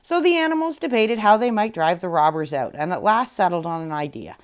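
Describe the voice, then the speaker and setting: A woman storyteller narrating a fairy tale aloud in an expressive read-aloud style. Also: on the low side, fairly quick, clean tone.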